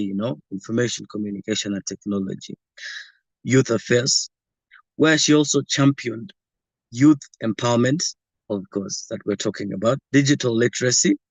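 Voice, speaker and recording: A man moderating a video-call session, giving a formal, slightly halting introduction of the keynote speaker. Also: fairly low pitch, no echo, low-quality recording.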